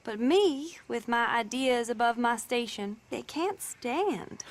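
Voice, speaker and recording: An actress playing a spirited, idealistic young Southern belle in a period video game, with an American Southern accent and a genteel, chatty, wry manner. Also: female voice, on the high side, animated, slow. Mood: wry, confiding.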